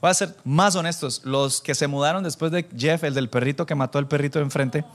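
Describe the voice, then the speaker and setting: A man preaching informally to a church congregation, animated and self-deprecating, bantering with listeners and dropping in English phrases. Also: a little high, a little fast. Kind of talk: informal conversational sermon with anecdotes.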